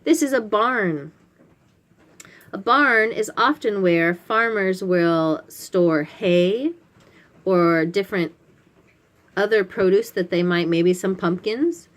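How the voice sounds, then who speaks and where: A woman's voice: mid-range voice, slow. A woman hosting a children's read-aloud, explaining a picture book to little ones in simple, instructive phrasing with questions to the listener.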